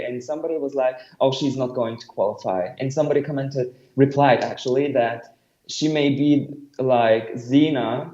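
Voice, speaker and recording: A man's voice: mid-range voice, a little mellow. A man chatting casually as a commentator, enthusiastic and full of fillers like "you know". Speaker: guest commentator. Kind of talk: casual review chat. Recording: roomy, muffled remote recording.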